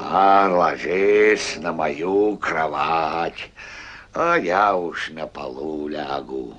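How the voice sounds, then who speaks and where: Very slow, gentle cartoon bear. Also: male voice, very deep voice, highs cut off, soft background music, exaggerated voice. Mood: apologetic. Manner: hesitant, stammering, soothing. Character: big gentle apologetic bumbling male bear. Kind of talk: animated cartoon voice acting.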